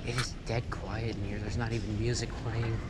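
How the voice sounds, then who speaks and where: A man's voice: fairly low pitch, level tone, moderate pace. Young man vlogging in an empty store. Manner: wistful, chatty, talks to camera while walking. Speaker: retail vlogger filming. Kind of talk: casual walkthrough commentary. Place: large empty department store in a mall, indoors. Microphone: handheld action camera. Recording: noisy handheld camera audio.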